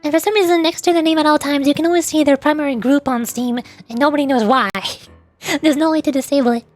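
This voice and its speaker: A young woman talks casually to her stream in a notably high voice, wandering between thoughts and laughing as she goes. She sounds close to a desk mic. Quiet game music plays behind her. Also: fairly even intonation, quick pace. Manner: rambling and chatty, with frequent laughs and restarts.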